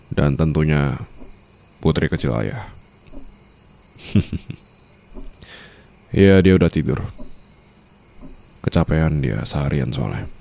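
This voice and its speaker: A man playing a cool, controlling young mafia boss and new husband in an ASMR roleplay, composed, formal and quietly commanding. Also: rumbling low, full character voice. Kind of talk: Indonesian ASMR roleplay acting.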